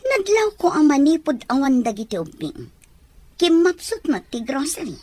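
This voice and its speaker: A woman's voice: sits high, huge pitch swings, normal speed, a little mellow, no echo. A woman playing a fretful, uneasy shopkeeper in an audio drama. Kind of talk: amateur audio drama acting.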